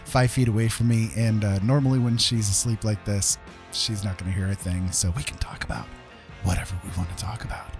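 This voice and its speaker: A man's voice: fairly low pitch, fairly even intonation, brisk, darkish tone, faint music behind. A man hosting a casual livestream, talking in a chatty, rambling and enthusiastic way. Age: adult, dad. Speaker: livestream host.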